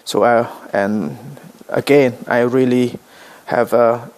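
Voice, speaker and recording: A middle-aged man from Indonesia speaking English with an Indonesian accent, addressing his vlog viewers in slow, halting sentences full of pauses and self-corrections. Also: medium pitch, steady tone, darkish tone. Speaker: vlogger. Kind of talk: direct-to-camera vlog address.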